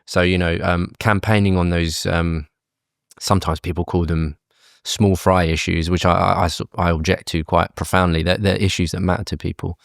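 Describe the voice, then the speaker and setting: A man from the UK, a campaign group chief, explaining tax and spending in a measured interview manner with fillers and restarts. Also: low-pitched, level tone, creaky, no echo, studio quality. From United Kingdom. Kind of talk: conversational policy interview.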